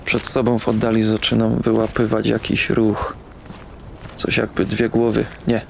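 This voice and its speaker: A young man playing a troubled, numb young narrator in an audio drama, speaking in short, subdued, halting first-person sentences. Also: lowish voice, completely flat delivery, creaky, a little hiss or hum. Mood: numb, uneasy. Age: young adult.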